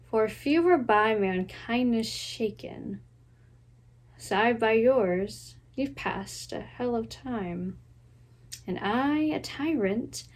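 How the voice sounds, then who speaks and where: A woman giving a dramatic recitation of classical verse, deliberate and full of long pauses. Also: on the high side, a little mellow. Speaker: student drama performer.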